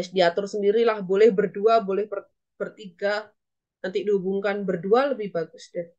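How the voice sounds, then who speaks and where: A woman's voice: fairly high pitch, highs cut off. A woman with an Indonesian accent teaching an online English class as lecturer, instructively repeating and translating example phrases.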